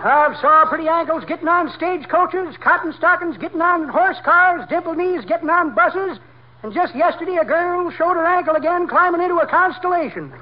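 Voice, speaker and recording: A folksy old codger in an old radio comedy, pitched extremely high. He rambles through boastful tall tales in rough country grammar. The recording is dull, with faint noise. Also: fairly quick. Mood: folksy boasting. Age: older. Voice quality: high, aged-sounding character voice. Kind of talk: 1940s radio comedy acting.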